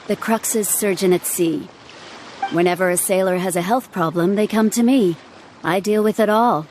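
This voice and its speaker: A woman playing a calm ship's surgeon. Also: medium pitch, a little hiss or hum, close to an everyday voice. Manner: instructive, patient, matter-of-fact. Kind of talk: video game cutscene dialogue.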